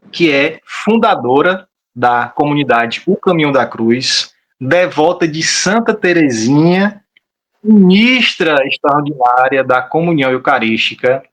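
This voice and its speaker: A man's voice: a little high, lively intonation, measured pace, a bit bright. A man hosting a podcast, opening the episode and formally introducing the guest. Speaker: podcast host. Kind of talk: scripted show opening.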